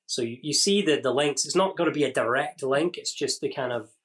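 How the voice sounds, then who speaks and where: A man's voice: medium pitch, a little fast, fairly bright tone. A man from northeast Scotland with a Scottish accent, a guest explaining history on a podcast, with many fillers and restarts. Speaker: guest expert on Pictish history. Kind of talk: casual podcast interview.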